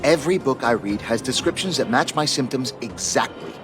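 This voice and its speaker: A man's voice: medium pitch, expressive, brisk, lightly acted. An actor voicing a glum, fatalistic male hypochondriac patient in a video game, resigned and defensive. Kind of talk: video game character acting. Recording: music behind the voice.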